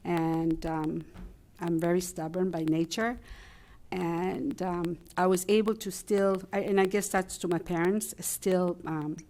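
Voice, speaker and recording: A woman's voice: fairly low pitch, mellow. Chilean woman. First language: Spanish. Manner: candid, hesitant with fillers. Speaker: family liaison and interpreter. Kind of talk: personal story at a meeting.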